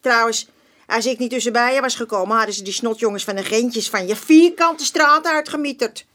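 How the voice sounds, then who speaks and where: Actress as feisty old woman, high-pitched. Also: a little fast, fairly bright tone, lightly acted. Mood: indignant, scornful. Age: older. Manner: indignant, blunt, colloquial. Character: feisty, proud old neighbourhood woman with a big mouth. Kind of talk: Dutch radio drama acting.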